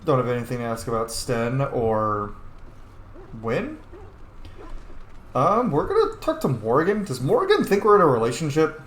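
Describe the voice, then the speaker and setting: Lively man commentating in roomy sound. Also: medium pitch, normal speed, pauses often. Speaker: gaming host. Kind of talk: casual let's play commentary. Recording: roomy sound with faint noise.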